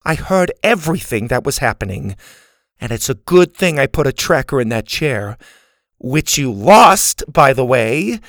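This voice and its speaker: A man's voice: medium pitch, big pitch swings, a little slow, a bit bright, no echo, studio quality. A man voicing a sarcastic older warrior grandmother in an adventure story, commanding and dryly scolding.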